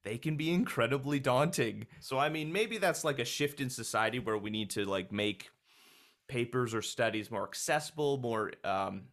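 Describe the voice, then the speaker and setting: A man's voice: mid-range voice, lively intonation, moderate pace, a bit bright. Casual male interviewer, full of likes. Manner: loose, many likes and fillers.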